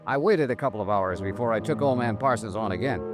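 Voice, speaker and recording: An actor playing a wry, tough insurance investigator in an old-time radio noir drama, clipped and sardonic, who also narrates. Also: male voice, fairly low pitch, quick pace, faint music behind, lightly acted. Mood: brisk, matter-of-fact. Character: wry, hard-boiled male insurance investigator.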